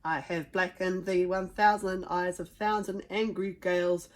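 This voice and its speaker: Woman putting on a booming, blustering Viking father. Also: steady tone, unhurried, lightly acted. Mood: boastful, booming. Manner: booming, emphatic. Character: huge blustering Viking father, boastful and loud.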